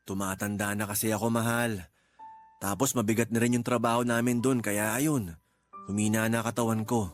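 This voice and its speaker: A man playing a supportive, middle-aged working father, gentle and fatherly, in a radio drama. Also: lowish voice, steady tone, normal speed, soft background music, natural voice. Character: supportive, teasing aging father, a construction worker. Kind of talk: Tagalog radio drama acting.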